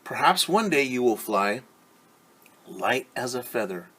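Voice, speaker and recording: A man voicing a kindly female storybook butterfly in a gentle, reassuring and knowing manner. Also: medium pitch, big pitch swings, a little slow, breathy edge, exaggerated voice.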